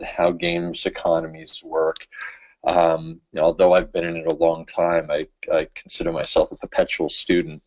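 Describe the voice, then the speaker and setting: A man in his fifties talks through his slides in a very low voice, pausing on fillers and restarting his sentences. The webinar audio sounds dull and lo-fi, as if taken from a computer microphone. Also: darkish tone. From San Francisco, California. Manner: halting, many fillers and restarts. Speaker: webinar speaker, games executive. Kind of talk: casual presentation with slides.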